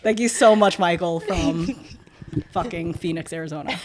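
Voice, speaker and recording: Young woman with a deeper voice, animated. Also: moderate pace, noisy background. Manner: animated, acts out quoted remarks with swearing. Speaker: podcast guest. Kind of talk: casual podcast chat.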